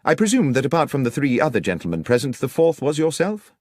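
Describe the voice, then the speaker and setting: Man acting a gentleman detective, shrewd, composed, formal, incisive, expressive pitch, dry audiobook sound. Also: fast talker, no echo. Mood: politely probing. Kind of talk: audiobook character dialogue.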